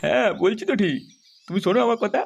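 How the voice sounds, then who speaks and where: A man's voice: sits high, animated, fast talker, creaky, music in the background. A man voicing a sweet-talking secret lover who coaxes and cajoles, in a crude comedy cartoon. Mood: coaxing, amorous.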